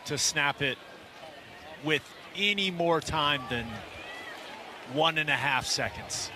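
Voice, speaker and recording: A man's voice: fairly high pitch, slow. A man chatting casually as a commentator on a live football radio broadcast. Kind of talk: casual live sports chat. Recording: noisy stadium broadcast.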